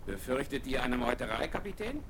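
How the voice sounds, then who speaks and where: A man voicing a self-assured middle-aged nobleman who talks with a lively, swinging pitch. He gives orders with keen enthusiasm in a 1970s radio play with faint vinyl hiss. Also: brisk, natural voice. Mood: defensive. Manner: commanding, enthusiastic planner.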